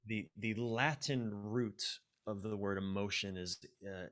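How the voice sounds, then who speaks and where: A man with a lowish voice talks as a coach being interviewed on a podcast. He explains his ideas in a reflective, halting way and leans on key words by repeating them. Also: fairly even intonation, fairly bright tone. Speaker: podcast guest, life and relationship coach.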